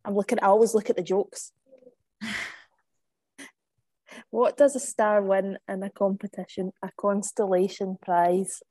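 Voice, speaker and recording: A woman's voice: medium pitch, flat delivery, unhurried, long pauses, warm tone, dry recording. A woman hosting a video call, chatty and a little flustered while sharing her screen. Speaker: host. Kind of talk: casual chat on a video call.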